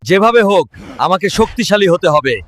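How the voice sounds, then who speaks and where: A man voicing a brash, vengeful, money-hungry Hulk in a cartoon, loud and declamatory. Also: high-pitched, expressive, brisk, background noise. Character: brash, vengeful, cash-hungry male Hulk, a jilted underdog. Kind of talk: exaggerated cartoon story dialogue.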